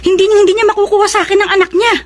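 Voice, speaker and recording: A woman's voice: very high-pitched, fairly even intonation, very fast, over music. An actress playing a caring, firm, motherly neighbor who minds a baby in a Filipino radio drama.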